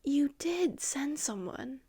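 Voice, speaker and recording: A woman's voice: high-pitched, animated, slow pace, warm tone, pitched above the performer's own voice, exaggerated voice. Woman reading as a frightened timid man. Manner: stammering, pleading. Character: frightened timid male writer.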